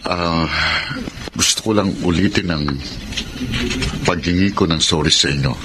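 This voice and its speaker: A man's voice: low-pitched, measured pace, background noise. A Filipino man acting in a radio drama as a repentant, tender and earnest father and husband. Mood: tender, remorseful.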